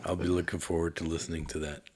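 A man's voice: deep voice, level tone, quick pace, a little mellow, a little hiss or hum. A man co-hosting a podcast in a casual chat on a call, laid-back and joking. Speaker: podcast co-host.

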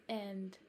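A South African woman in her twenties whose first language is Afrikaans. She chats to a follower in a giggly, hesitant, soft-spoken roleplay and sometimes drops to a whisper. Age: 20s. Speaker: content creator addressing a follower. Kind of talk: roleplay chat to the listener.

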